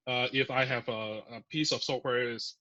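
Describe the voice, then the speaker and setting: Male guest, bright and forceful, chatty with fillers; dull lo-fi sound. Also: medium pitch, fairly even intonation, moderate pace. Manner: chatty, filler-heavy explaining with laughs. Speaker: podcast guest, software engineer. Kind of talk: casual interview. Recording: muffled lo-fi audio.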